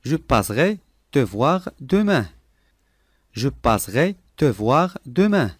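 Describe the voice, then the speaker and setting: Male lesson reader: deliberate, clear, repeats each phrase, animated intonation. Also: mid-range voice, no echo, studio quality. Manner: clear, deliberate, each sentence said three times. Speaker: language lesson voice. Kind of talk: slow repeated phrase reading for learners.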